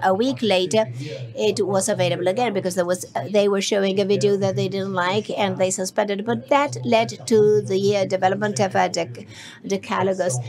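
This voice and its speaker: Female simultaneous interpreter, mid-range voice, halting live delivery, audience audible in the hall. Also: medium pitch. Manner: halting, many fillers and restarts. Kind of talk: live interpretation of a conference speech. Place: conference hall.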